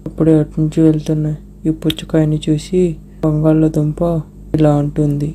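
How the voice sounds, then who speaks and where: A woman's voice: low-pitched, completely flat delivery, moderate pace, warm tone, a little hiss or hum. A woman narrating a scripted moral story for children.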